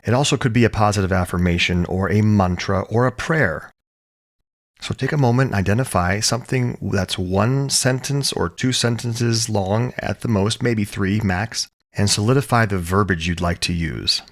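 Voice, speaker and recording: A man leading a guided relaxation as a yoga teacher, speaking calmly and soothingly in short, measured phrases with pauses. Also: lowish voice. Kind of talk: guided meditation, gentle instruction.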